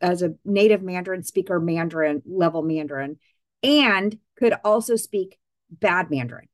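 A woman hosting a podcast interview, chatty and quick with asides, often correcting herself mid-sentence. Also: lowish voice, dry recording, clean studio sound. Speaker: podcast host. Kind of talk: casual interview chat.

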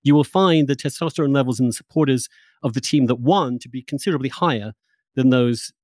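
A man's voice: mid-range voice, fast talker, darkish tone, dry recording. Discursive man hosting a close-miked podcast. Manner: discursive, informal, mildly sweary. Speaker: podcast host. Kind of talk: conversational intellectual interview.

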